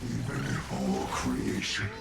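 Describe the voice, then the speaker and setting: Slow, grave warrior villain. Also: male voice, very deep voice, animated, gravelly, exaggerated voice. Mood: menacing. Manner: solemn, declamatory. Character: grave, ominous male game warrior villain. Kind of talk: dramatic video game voice acting. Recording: game music over the voice. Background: game music.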